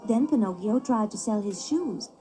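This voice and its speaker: A woman narrating a children's story as a book on tape, expressive and clearly paced. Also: mid-range voice, moderate pace, soft background music.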